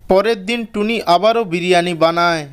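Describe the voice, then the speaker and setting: A man's voice: high voice, brisk, clear, resonant tone. A man narrating a scripted children's cartoon story as its storyteller.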